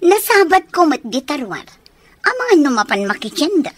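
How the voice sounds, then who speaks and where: An actress playing a brazen, defiant young woman in a radio drama, with a sharp-tongued, challenging manner. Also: high voice, big pitch swings, moderate pace. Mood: scheming, irritated.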